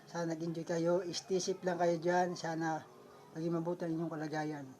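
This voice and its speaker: A woman's voice: low voice, little pitch movement, normal speed, warm tone. A woman with a Filipino accent hosting a puppet show vlog, chatting casually to viewers. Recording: dull lo-fi audio.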